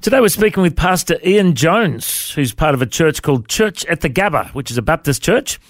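A man hosts an interview and draws his guest out with encouraging questions. His voice sits a little high and sounds dry and close. Nothing else is heard around him. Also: animated, moderate pace, dry recording. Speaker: podcast host. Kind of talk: interview hosting.

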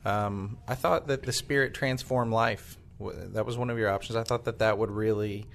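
A man's voice: on the low side, steady tone, normal speed, creaky. A man hosting a church podcast in a friendly, upbeat way, with some hesitant fillers as he asks questions. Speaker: podcast host. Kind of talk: podcast chat and read sponsor spot.

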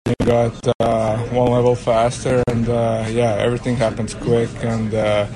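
A young man, a hockey player, giving a halting interview in simple non-native English. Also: medium pitch, completely flat delivery, rough recording. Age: young adult. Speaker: professional ice hockey player. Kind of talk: post-practice interview. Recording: busy chatter behind interview.

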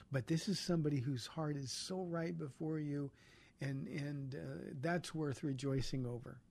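A man's voice: on the high side, mellow. A man hosting a call-in radio show as a pastor, answering callers in an earnest, encouraging way and often restarting his sentences. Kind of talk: call-in radio Bible talk.

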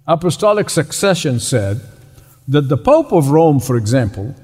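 Egyptian-born preacher in a big reverberant hall. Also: male voice, fairly high pitch, very animated, warm tone, slightly rough. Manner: emphatic preaching with repeated phrases and slow stressed words. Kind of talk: sermon. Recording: long reverb of a large hall.

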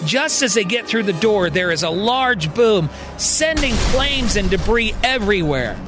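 A male storyteller delivers scripted, dramatic narration for a fiction podcast. His voice is somewhat high for a man, and quiet music runs underneath. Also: expressive, measured pace. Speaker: storyteller, podcast writer and performer.

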